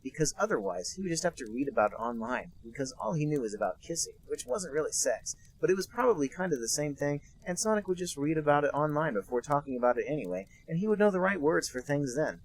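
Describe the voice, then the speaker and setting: Husky young man doing a mock-serious reading. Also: fairly high pitch, sing-song, quick pace, hardly any pauses, poor audio. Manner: mock-serious with dry asides. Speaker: podcast co-host, narrator. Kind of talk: comedic dramatic reading.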